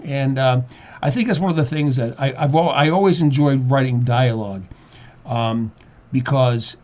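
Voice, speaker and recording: An older man speaking as a guest in a conversational interview, explaining things haltingly and repeating his words as he goes. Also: mid-range voice, a little mellow. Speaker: guest playwright and acting teacher.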